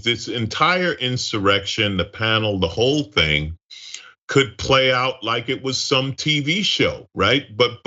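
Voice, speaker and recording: A man's voice: mid-range voice, bright, forceful tone, muffled recording. A man giving conversational political commentary as a guest, wry and emphatic, repeating phrases for effect.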